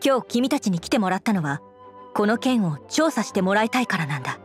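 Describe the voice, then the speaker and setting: Woman acting young lady knight leader, earnest, measured, formal, medium pitch, anime game dialogue, faint music. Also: sing-song, close to an everyday voice. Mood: serious.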